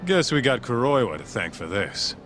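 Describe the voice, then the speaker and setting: A man's voice: mid-range voice, very animated, normal speed, a bit bright, soft background music. An actor playing a brash, needling male detective's sidekick, blunt and sarcastic, in an English video game dub. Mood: mocking.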